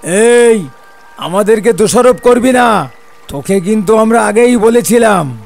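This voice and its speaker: A man playing a touchy, bossy village storyteller uncle who scolds huffily in an animated story. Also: sits high, unhurried, creaky, heavily acted. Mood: scolding. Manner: scolding, huffy.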